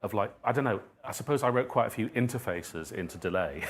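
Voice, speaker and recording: Low deep male voice, writer chatting at a live talk, rewording as he goes, bit of background noise. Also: lively intonation, brisk. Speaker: guest speaker, writer and playwright. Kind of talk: informal public talk with audience questions. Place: public talk venue.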